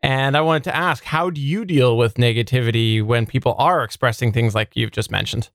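A man's voice: medium pitch, brisk, fairly bright tone, no echo, clean studio sound. A man hosting a podcast interview, putting questions to his guest in a conversational way.

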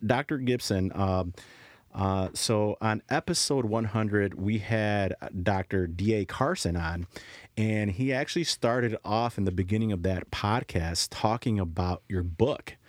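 A man hosting a podcast, chatting casually and haltingly with lots of fillers. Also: on the low side, no echo, clean studio sound. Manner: friendly, halting, many fillers. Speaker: podcast host. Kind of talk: casual interview chat.

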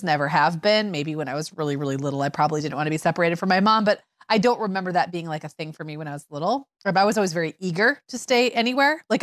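A woman's voice: lowish voice, dry recording, clean studio sound. A middle-aged woman co-hosting a parenting podcast, telling stories in a chatty way with many fillers and restarts. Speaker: podcast co-host. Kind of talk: casual conversational chat.

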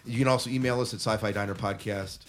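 A man with a fairly low voice hosts a podcast. He talks casually and informally, like someone chatting with listeners. Soft music plays faintly behind him. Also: fairly even intonation, quick pace. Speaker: podcast host and interviewer.

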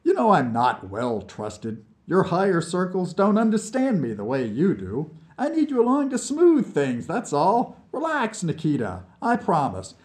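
Man doing an airy, goading Soviet visionary, raised pitch. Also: wildly swooping pitch, measured pace, hardly any pauses, darkish tone, exaggerated voice. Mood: coaxing. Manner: airy, coaxing. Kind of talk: dramatized audiobook dialogue.

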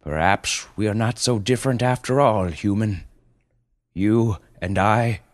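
A man voicing a giant male panda leader in a storybook tale, speaking in a slow, stately and grandiose way. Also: mid-range voice, voice pushed up, natural voice. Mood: solemn, measured.

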